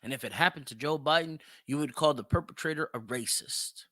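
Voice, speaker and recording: A man's voice: on the high side, fairly quick, sharp tone, no room sound. A man giving opinionated solo commentary as a podcast host, emphatic and repeating phrases for stress.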